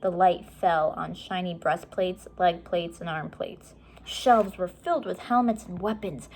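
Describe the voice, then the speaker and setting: A woman reading a children's chapter book aloud with measured, expressive storytelling. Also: on the low side, a little hiss or hum. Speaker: story reader.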